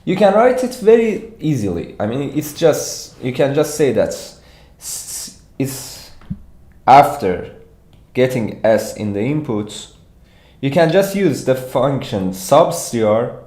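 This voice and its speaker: A man with a Persian accent teaches programming in an informal livestream style. He takes his time, haltingly working through his thoughts aloud. His voice rings off the walls of an echoey room. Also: mid-range voice, big pitch swings, dark tone. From Iran. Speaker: competitive programming instructor. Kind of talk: informal livestreamed problem walkthrough.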